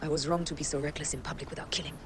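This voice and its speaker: A man playing a resolute, stern assassin who speaks with cold, deliberate intensity in a video game cutscene. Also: fairly high pitch, flat delivery, background noise, natural voice. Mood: cold resolve. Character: resolute, stern assassin, lover turned comrade. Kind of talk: dramatic game cutscene acting. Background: loud music.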